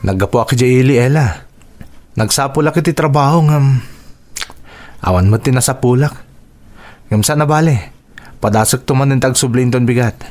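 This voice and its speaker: Man acting earnest young provincial husband, mid-pitched. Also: normal speed, long pauses, darkish tone, close to an everyday voice. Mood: tired, hopeful. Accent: Filipino. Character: caring young provincial husband, tired from job hunting. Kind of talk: radio drama acting.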